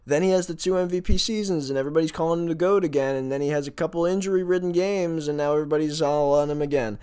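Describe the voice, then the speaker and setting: A man's voice: a little high, steady tone, fast, a little mellow, clear, resonant tone. A man co-hosting a sports podcast, giving opinions in an exasperated, emphatic and insistent manner.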